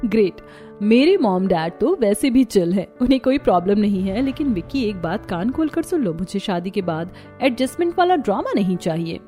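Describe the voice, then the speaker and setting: A woman's voice: medium pitch, sing-song, moderate pace, warm tone, soft background music, close to an everyday voice. A woman voicing a spoiled, brash young modern daughter-in-law in a cartoon story, haughty and demanding, mixing in English words. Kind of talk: Hindi cartoon story dialogue.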